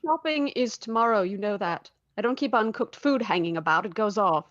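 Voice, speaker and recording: An actress playing a practical but fretful country housewife in a vintage-style radio drama. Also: female voice, medium pitch, lively intonation, a little fast, a bit bright, dry recording, lo-fi sound, close to an everyday voice. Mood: brisk, dismissive.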